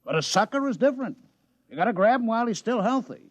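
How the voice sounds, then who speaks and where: Wisecracking casino boss, pitch jumping all over, dry old radio sound. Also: male voice, lively intonation, dry recording. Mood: brash. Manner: fast-talking, wisecracking, tough-guy slang. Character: brash male casino boss and town councilman. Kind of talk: 1950s radio drama acting.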